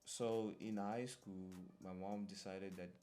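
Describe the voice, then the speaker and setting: A young man from Zimbabwe, a guest artist in a casual interview, talking in a soft-spoken, relaxed and easygoing way. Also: on the low side, slow, soft background music.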